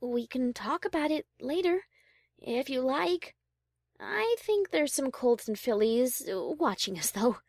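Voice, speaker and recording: A woman plays a high-class cartoon-style pony mare for an audio story. Her voice is high and swoops expressively, with articulate, affectionate phrasing. The recording is close and dry. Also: big pitch swings, takes it slowly, vocal fry, darkish tone, dry recording, full character voice. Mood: gentle. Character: refined, tender female pony cellist. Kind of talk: audio drama acting.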